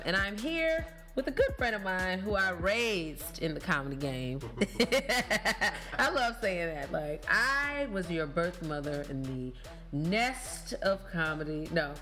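A woman's voice: fairly low pitch, big pitch swings, measured pace, fairly bright tone, soft background music. A woman with a Chicago accent hosting a podcast, casual and playful, laughing often. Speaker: podcast host. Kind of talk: casual chat.

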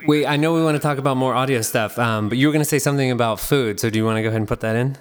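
A man hosting a podcast interview, chatty and loose, with lots of fillers and quick asides. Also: mid-range voice, clear, resonant tone. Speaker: podcast host. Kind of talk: conversational interview.

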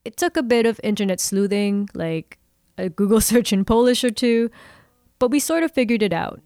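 A woman's voice: medium pitch, moderate pace, darkish tone, clean tone, dry recording. A woman hosts a podcast with a conversational storytelling delivery. Her mid-range voice is recorded close and dry, with no room sound around it. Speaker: podcast host. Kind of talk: narration and co-host chat.

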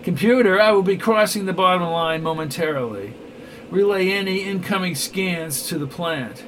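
Guy doing a smug cold villain, bossy and clipped, kinda high voice, noisy hiss behind. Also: expressive, normal speed. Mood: imperious. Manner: imperious, clipped menace. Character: cold scheming male villain leader.

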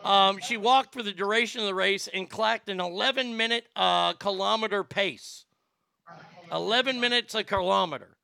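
High-voiced raspy host guy in his fifties, easygoing, dry close mic. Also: normal speed, sharp tone, no room sound. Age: 50s. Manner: easygoing, reads stories aloud. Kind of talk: casual chat.

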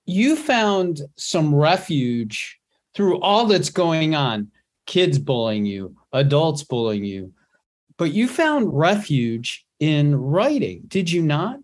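A man's voice: a little high, sing-song, takes it slowly. A male interviewer who affirms his guest and asks leading questions.

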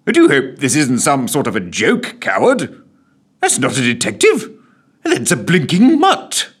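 A man's voice: mid-range voice, sing-song, measured pace, a bit bright, pitched above the performer's own voice. A man voicing a pompous, exasperated male prime minister who blusters and stammers.

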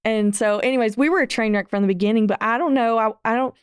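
A woman's voice: a little high, fast, clear, resonant tone, no echo, studio quality. A woman hosting an interview, chatting casually and saying "like" often. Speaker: podcast host.

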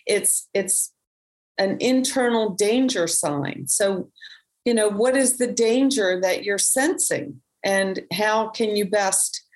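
A woman's voice: medium pitch, slow. A woman speaking reflectively in a casual conversation, often restarting her sentences as she thinks. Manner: reflective, with frequent restarts and fillers.

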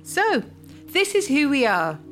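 A woman acts an encouraging, hopeful townswoman in a scripted radio drama. Her voice is on the high side and moves around a lot in pitch. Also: very animated, slow pace, over music, exaggerated voice. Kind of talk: scripted community radio play.

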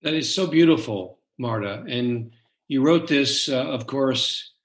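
Interview host, man, halting delivery with lots of pauses, roomy echo. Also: medium pitch. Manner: halting, many uh fillers.

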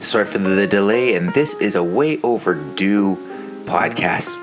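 A man in his thirties hosting a casual podcast, raspy and hoarse from the flu, animated and joking. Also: lowish voice, a little slow, music in the background. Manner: animated, laughs and jokes, mimics others. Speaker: podcast host. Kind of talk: casual podcast chat over beer.

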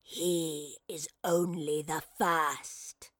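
A woman voicing a menacing ancient wraith in a stolen body, with a hissing, whispery, drawn-out delivery for an audio drama. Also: deep voice, flat delivery, a bit bright, no echo, clean studio sound, voice pushed down, exaggerated voice. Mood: sinister certainty. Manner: very slow, drawn-out, ominous.

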